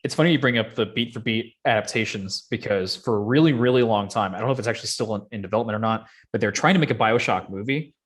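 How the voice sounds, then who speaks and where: A man's voice: fairly low pitch, fast talker, few pauses. Man chatting casually, slangy and enthusiastic. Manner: slangy, enthusiastic fan talk. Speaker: podcast co-host. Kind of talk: casual chat about video game movies.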